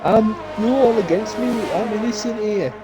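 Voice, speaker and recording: Brief: whiny male racer, sulky, self-pitying, voice pushed up high , put on by a man. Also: moderate pace, music in the background, lightly acted. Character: whiny male racer denying all blame.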